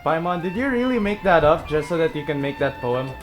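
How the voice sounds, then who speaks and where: A gaming video host giving casual, chatty and expressive commentary while playing. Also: mid-range voice, a little fast. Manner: expressive, chatty, reacting aloud to the game. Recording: loud game music behind. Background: video game music.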